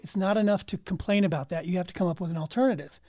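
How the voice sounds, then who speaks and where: A woman's voice: on the low side, racing, mellow, dry recording, studio quality. A woman talking as a guest in a casual panel discussion, explaining things conversationally. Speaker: guest from an audio drama team.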